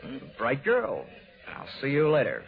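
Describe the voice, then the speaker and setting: An actor playing a cynical, wisecracking insurance investigator in a 1940s radio detective drama, wry and dry. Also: male voice, mid-range voice, wildly swooping pitch, a little slow, gravelly, very noisy, exaggerated voice. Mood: flippant. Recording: tinny, narrow-band 1940s radio recording, music.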